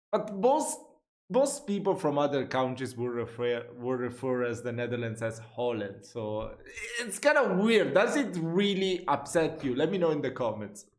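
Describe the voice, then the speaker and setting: Chatty man, echoey room. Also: medium pitch. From Italy. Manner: chatty, jokey, quick to laugh and swear. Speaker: reaction video host. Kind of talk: casual reaction commentary.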